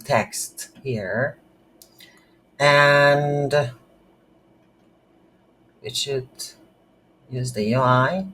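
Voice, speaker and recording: A game development instructor with non-native English talks slowly through an unscripted coding tutorial. The delivery is halting, thinking aloud at a medium pitch. Some faint noise and keyboard typing sit behind the voice. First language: not English. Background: computer keyboard typing.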